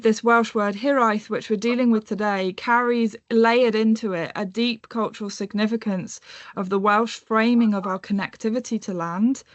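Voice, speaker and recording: A woman in her thirties presents her work at a conference in a measured, reflective voice of middle pitch. She slows down when posing questions. The recording is dry and slightly dull. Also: no echo. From born in England, raised in North America and Europe. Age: 30s. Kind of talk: academic presentation.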